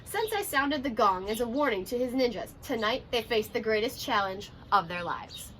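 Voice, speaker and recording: A woman's voice: a little high, lively intonation, moderate pace, fairly bright tone, a little hiss or hum. A woman in her twenties reading a children's storybook aloud expressively, with dramatic, careful pacing. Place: outdoors on a porch by a brick wall.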